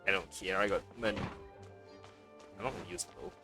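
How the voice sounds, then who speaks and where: A man's voice: fairly low pitch, sing-song, brisk, over music. A man doing a live game playthrough, reading dialogue aloud and adding casual offhand comments. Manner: casual offhand remarks mixed with read-out dialogue. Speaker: game streamer.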